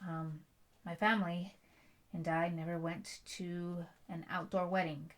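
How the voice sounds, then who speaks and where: A woman's voice: lowish voice, level tone, a little slow, close to an everyday voice. A woman playing a stubborn bride-to-be in an intimate ASMR roleplay, talking in a blunt, emphatic, rambling storyteller's way. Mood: wry recollection. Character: headstrong, stubborn bride-to-be, werewolf prince's future wife.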